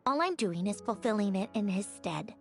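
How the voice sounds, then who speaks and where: A woman's voice: medium pitch, animated, moderate pace, music in the background, natural voice. An actress voicing a self-assured, clever young woman in a video game, explaining things in a confident, dryly teasing way. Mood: earnest. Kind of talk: game voice acting.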